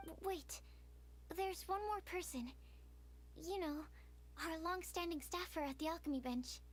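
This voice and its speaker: A woman's voice: very high-pitched, measured pace, slight background noise. A woman voicing an earnest, polite young alchemist's assistant in a video game, thoughtful and a little hesitant, with music behind her.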